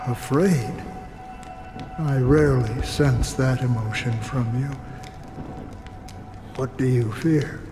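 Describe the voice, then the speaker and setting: An actor playing a calm, curious male wanderer in a game, talking in a measured, lightly dry, thoughtful way. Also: mid-range voice, natural voice. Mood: sympathetic, probing. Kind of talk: dramatic game dialogue. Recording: long hall reverb, faint music.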